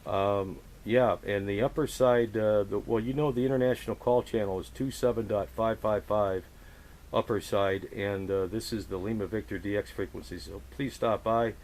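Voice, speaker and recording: Radio operator, male, lowish voice, halting and chummy casual chat, faint hiss on the recording. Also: steady tone, darkish tone. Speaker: amateur radio operator running the frequency. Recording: hissy, noisy radio recording. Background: radio static hiss.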